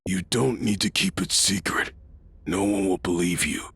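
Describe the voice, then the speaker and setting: A man playing a weary, stubborn archbishop in an audio drama, speaking in a dry, deliberate way with a gravelly, husky voice. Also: low-pitched, fairly bright tone, dry recording, exaggerated voice. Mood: resigned, deadpan. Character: weary, stubborn male archbishop leading a secret mech project.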